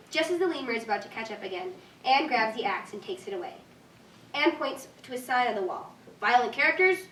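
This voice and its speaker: Echoey, high-voiced woman reading stage directions. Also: fairly bright tone. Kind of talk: scripted narration at staged reading. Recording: echoey room, distant mic, faint noise.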